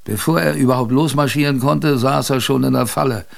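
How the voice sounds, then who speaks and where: A man playing an older adventurer who recalls his youth in a creaky voice, with wistful, conversational radio drama narration. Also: medium pitch, a little fast. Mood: nostalgic. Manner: conversational, wistful, reflective storytelling.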